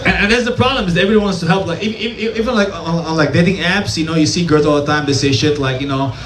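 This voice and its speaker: A tipsy man from Syria with a Syrian accent, doing a deadpan stand-up comedy set and repeating small asides after each punchline. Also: on the high side, quick pace. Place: small cafe comedy stage. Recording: echoey room with crowd noise. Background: audience laughter and room ambience.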